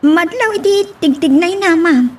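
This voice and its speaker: A woman's voice: very high-pitched, animated, unhurried, distant mic. A woman with an Ilocano accent acting an inquisitive, chatty young woman in a romance audio drama. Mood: curious.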